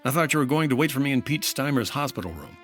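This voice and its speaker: Casting: male actor as earnest private investigator, animated yet dry, narrating his case like classic fifties radio drama. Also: medium pitch, fast, soft background music, natural voice. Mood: businesslike. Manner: clipped, dry detective narration. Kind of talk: 1950s radio detective acting.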